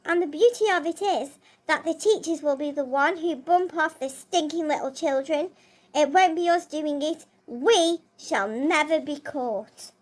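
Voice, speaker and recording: A woman's voice: sits high, a little slow, background noise. A woman voicing fawning, child-hating witches full of glee in a storybook reading. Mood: adoring, wicked glee.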